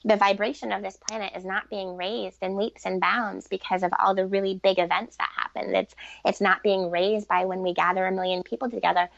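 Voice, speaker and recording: A woman's voice: medium pitch, flat delivery, fairly quick, vocal fry, few pauses, fairly bright tone, dry recording, dull audio. A woman speaking conversationally as an interview guest on spiritual matters, explaining earnestly and repeating points for emphasis. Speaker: podcast guest, author and relationship expert.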